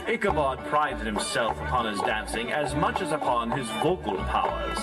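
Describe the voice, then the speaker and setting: A man's voice: medium pitch, lively intonation, normal speed, distant mic, loud music behind the voice, close to an everyday voice. A man playing a wry, literary storybook narrator in a scripted theatrical narration.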